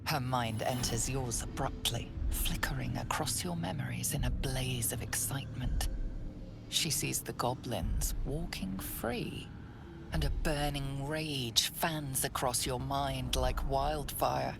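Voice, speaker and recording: An actress voicing an ominous storyteller who narrates a fantasy game in a hushed, breathy way. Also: female voice, a bass voice, slow pace, over music, exaggerated voice. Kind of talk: scripted second-person game narration.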